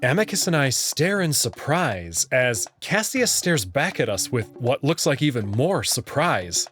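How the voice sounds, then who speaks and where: Male story narrator with lively intonation. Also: medium pitch, unhurried, faint music behind. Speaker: narrator and reader of a visual novel. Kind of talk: first-person story narration with acted dialogue.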